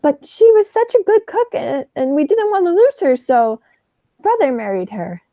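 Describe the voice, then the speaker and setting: A woman's voice: sits high, sing-song, moderate pace, dark tone, no room sound, clean studio sound. A young woman playing a sweet, genteel elderly spinster aunt in a radio play, politely dithering. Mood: gentle, chatty.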